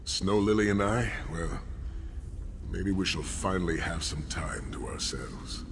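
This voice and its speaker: An actor playing an earnest, battle-worn noble warrior in a video game, speaking in a formal, grave way. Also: male voice, deep voice. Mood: warm farewell. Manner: formal, measured, grave speech. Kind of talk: video game character acting. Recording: long reverb, noisy ambience.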